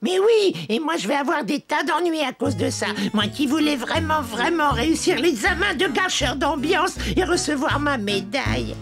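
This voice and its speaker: A woman's voice: sits high, lively intonation, normal speed, hardly any pauses. A woman voicing a mischievous little male goblin in a children's cartoon, blustering and exclamatory, with theatrical villain posturing. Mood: worried. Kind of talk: children's animated cartoon dubbing. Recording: music playing behind the voice.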